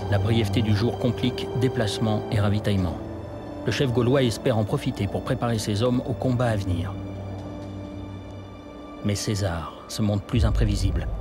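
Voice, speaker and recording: A man narrating a historical documentary from a script, in a measured storytelling manner. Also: on the low side. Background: music.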